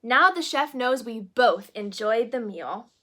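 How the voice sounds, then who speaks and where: A woman's voice: on the high side, lively intonation, slow, a bit bright, heavily acted. A woman as a kindly, jovial storybook king. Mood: reassuring. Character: kindly, jovial king, a young girl's father.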